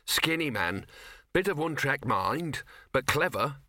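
A man voicing a brisk older male wizard dean who speaks in clipped short phrases, in a fantasy audiobook. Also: mid-range voice, animated, pushed and bright, no room sound, studio quality, voice pushed up, exaggerated voice. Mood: reminiscing.

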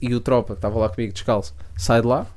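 Fast-talking young man. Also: mid-range voice, steady tone, darkish tone. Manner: rambling slangy storyteller, many restarts and laughs. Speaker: podcast co-host. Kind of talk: casual storytelling chat.

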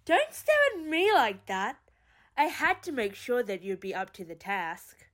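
A woman's voice: fairly high pitch, sing-song, unhurried, fairly bright tone. A woman playing a smug, sly betrayer in an audio drama, teasing and flippant.

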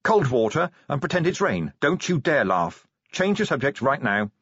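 A man's voice: fairly high pitch, very animated, normal speed, fairly bright tone, slightly rough, no echo, highs cut off, voice pushed up, full character voice. A man voicing a prickly, defensive female werewolf police officer in an audiobook story. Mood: snappish. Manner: snappish, defensive.

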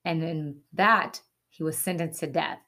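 A woman with a fairly low voice presents a short educational segment. She reads scripted narration in an earnest, instructive manner. Now and then she drops in a casual aside or a rhetorical question. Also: a little slow, pushed and bright.

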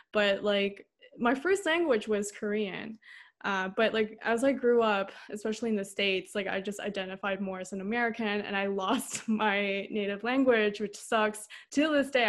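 A young woman interviewing a guest on a podcast, asking rambling questions full of fillers. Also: medium pitch. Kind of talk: casual interview talk.

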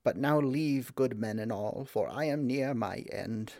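A frail, dying old king who is also a mighty magician, as a man reads him aloud, speaking slow and solemn to his daughter. His words come gently and tenderly, at a measured, unhurried pace. The sound is dry and close. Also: mid-range voice, no room sound, natural voice.

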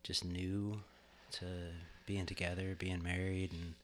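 A man's voice: low voice, level tone, takes it slowly, a bit bright, slight background noise. A middle-aged man co-hosting a podcast in casual chat, joking and rambling with fillers, recorded in a home studio. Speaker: podcast co-host.

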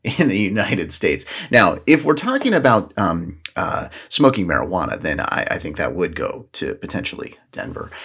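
A man's voice: low-pitched, creaky, a bit bright, husky. A man hosting a podcast, talking casually and solo through the day's events with jokey, rambling asides. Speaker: podcast host.

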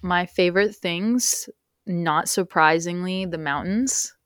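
Young woman guest on a podcast, low voice, dry close sound, keeps saying like. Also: slow, a bit bright, smooth, no echo. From United States. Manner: rambling, uses many fillers and "like". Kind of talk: casual conversational interview.

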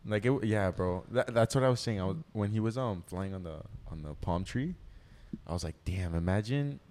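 A man's voice: lowish voice, lively intonation, normal speed, darkish tone. A young man co-hosting a podcast, chatting casually with slangy, sweary, exclamatory reactions. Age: young adult. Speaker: podcast co-host. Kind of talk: casual chat.

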